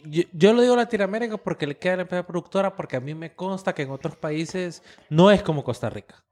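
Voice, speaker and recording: A man's voice: high-pitched, fast talker, darkish tone. A man from Nicaragua co-hosting a casual chat, opinionated and slangy, dropping in English phrases. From Nicaragua, born in the United States. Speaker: podcast co-host.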